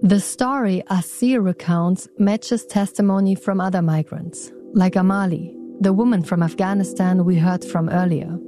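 A woman reporter narrating a news story in a measured, calm way. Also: on the low side, soft background music.

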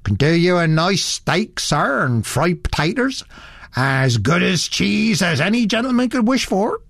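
A big, burly country innkeeper, folksy, gossipy and eager to please, as a male reader plays him. His talk rolls up and down in lively swings. The recording is close and dry. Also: sits high, unhurried, gravelly, no room sound, pitched above the performer's own voice, full character voice. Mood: ingratiating.

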